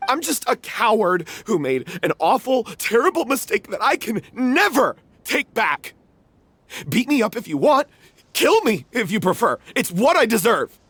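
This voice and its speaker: An actor playing a guilt-ridden male theater troupe member in a video game, confessing with growing self-blame in a husky voice. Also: high-pitched, big pitch swings, a little slow, a bit bright, no echo, full character voice. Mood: anguished.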